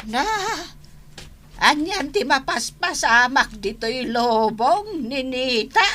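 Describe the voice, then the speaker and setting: A woman's voice: sits high, animated, takes it slowly, sharp tone, slight background noise, exaggerated voice. A woman with a Filipino accent playing a fussy, dismayed elderly grandmother in a radio drama, drawing out her exclamations. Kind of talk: Ilocano radio drama acting.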